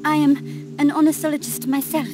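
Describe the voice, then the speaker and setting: A woman's voice: sits high, little pitch movement, brisk, lightly acted. An actress playing an earnest, frightened young French woman with a French accent in a video game cutscene. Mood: wary, curious. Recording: music behind the voice.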